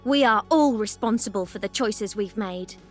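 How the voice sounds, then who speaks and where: A woman's voice: medium pitch, moderate pace, soft background music, lightly acted. A woman playing a cheeky, upbeat young heroine in a video game. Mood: plucky.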